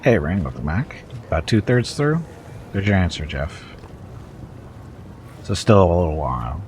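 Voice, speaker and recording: A man's voice: low voice, sing-song, moderate pace, darkish tone, a little hiss or hum. A man with a stuffy head cold chatting casually with viewers while playing through a video game. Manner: chatty, wry, talks to viewers in chat. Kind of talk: casual livestream chat and reading game text aloud.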